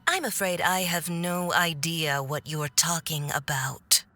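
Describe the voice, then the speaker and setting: A woman's voice: low voice, measured pace, pushed and bright, faint music behind. A woman playing a haughty, mocking villainous diplomat in a video game, with a condescending, theatrical drawl. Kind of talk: video game cutscene voice acting.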